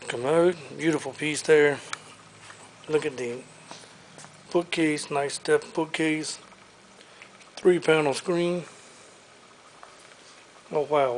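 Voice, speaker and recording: A man's voice: fairly high pitch, steady tone, a little hiss or hum, rough recording. Upbeat man touring an auction warehouse. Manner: listing items briskly, upbeat salesman patter. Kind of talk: walkthrough preview of auction items. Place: large warehouse showroom full of furniture.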